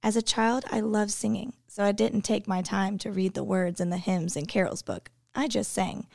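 A woman's voice: medium pitch, steady tone, moderate pace, vocal fry, darkish tone, no room sound, clean studio sound. A woman who is an English teacher, giving an earnest personal devotional reflection.

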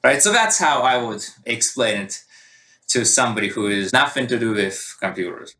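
Man with a Russian accent, bright forceful tone, interview guest, animated storytelling. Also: medium pitch, moderate pace. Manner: animated storytelling with quoted dialogue. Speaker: guest, database expert. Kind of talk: conversational interview answer.

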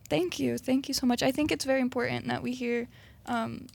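Young woman with a gravelly edge. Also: sits high, fairly even intonation, a little fast, a little mellow. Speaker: interviewer. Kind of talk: interview questions.

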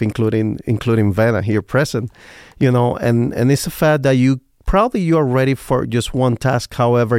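A man's voice: medium pitch, a little mellow, smooth, no echo, clean studio sound. A man from Cuba with a Cuban Spanish accent, talking earnestly and reflectively as an interview guest. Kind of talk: interview talk.

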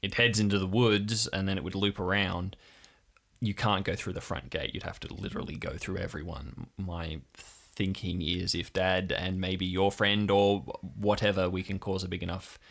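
Man acting as young village woman, deep pitch, earnest, wary, hesitant, pleading, improvised radio play. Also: steady tone, normal speed, creaky, fairly bright tone. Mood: urgent, planning. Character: earnest young woman, a local compound resident, wary but kind. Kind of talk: improvised audio drama roleplay.

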